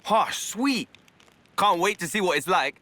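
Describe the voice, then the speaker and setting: An actor playing a cocky, cheerful young adventurer who teases in breezy slang in a video game. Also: male voice, high voice, expressive, measured pace, sharp tone, heavily acted. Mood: teasing. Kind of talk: video game cutscene acting. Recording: music behind the voice.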